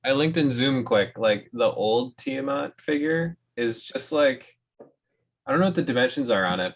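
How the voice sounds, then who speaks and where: A man chatting casually with friends, rambling and swearing in a relaxed way. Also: mid-range voice. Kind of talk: casual chat among friends.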